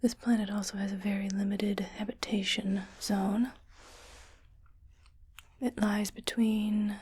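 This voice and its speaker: Casting: woman to play a reassuring female starship medic for ASMR roleplay, whispered and breathy, clinical yet soothing, very unhurried delivery. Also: mid-range voice, a little mellow. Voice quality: breathy, mostly unvoiced whisper. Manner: slow, measured, clinical and soothing. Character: calm, reassuring female ship's doctor in science fiction.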